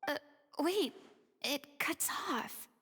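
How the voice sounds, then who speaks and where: An actress voicing a young, earnest, compassionate woman investigator in a video game, speaking softly and half-whispering. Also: high-pitched, expressive, drawn-out words, exaggerated voice. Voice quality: soft, breathy, partly whispered. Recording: game audio with long reverb.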